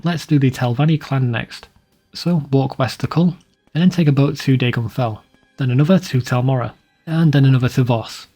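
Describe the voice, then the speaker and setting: A man's voice: medium pitch, normal speed, dark tone, soft background music. A man reading a scripted video game walkthrough in a plain, informal, instructional way. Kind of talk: scripted guide narration.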